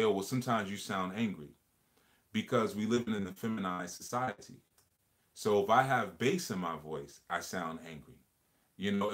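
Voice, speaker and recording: A man hosting a casual livestream discussion, talking earnestly and reflectively and often repeating words as he builds a point. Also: low voice. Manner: earnest, reflective, repeats phrases while explaining. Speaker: livestream host.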